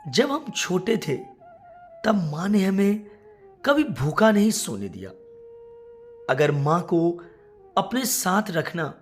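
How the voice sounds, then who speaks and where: An earnest, pleading young village man devoted to his family, acted by a woman storyteller. The speech is slow and expressive, with soft music underneath. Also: on the low side.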